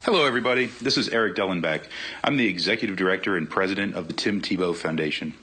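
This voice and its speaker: A man's voice: deep voice, fast, bright, forceful tone, husky. A man reading questions aloud from a book as a guest reader. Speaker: guest reader, nonprofit executive.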